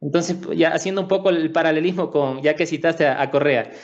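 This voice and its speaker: Dull-sounding, higher-pitched interviewer, male. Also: steady tone, fairly quick. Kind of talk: conversational interview.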